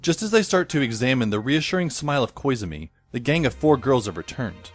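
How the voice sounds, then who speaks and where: A man's voice: mid-range voice, very animated, fairly quick, faint music behind. A man playing a wry, put-upon teenage boy, narrating in the first person for an audio drama. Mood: wry, observant.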